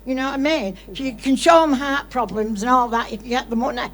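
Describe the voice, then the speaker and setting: A woman's voice: high-pitched, quick pace. A woman speaking off the cuff as an audience member at a public Q&A, blunt and colloquial, making an impassioned case. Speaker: audience member, charity service leader. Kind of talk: impassioned unscripted testimony at a public Q&A. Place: indoor studio audience event.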